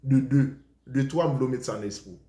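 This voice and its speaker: A Haitian man speaks to his followers in a loose, rambling preaching style, defending himself and circling back over the same points. His voice sits in a middle pitch. The sound carries a roomy echo. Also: normal speed, warm tone. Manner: rambling, defensive, switches between Creole and French. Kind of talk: informal preaching talk to followers.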